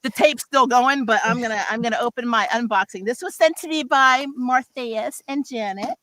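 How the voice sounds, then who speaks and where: Middle-aged female voice actor in panel chat: crisp bright tone, dry sound, candid, slangy, self-deprecating. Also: a little high, normal speed, dry recording, low-quality recording. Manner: informal slangy phrasing. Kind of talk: casual chat on a livestream panel.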